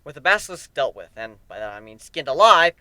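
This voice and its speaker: Bright, animated man reading a story. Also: a little high, brisk, no echo. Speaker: amateur story reader. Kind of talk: dramatic first-person story narration.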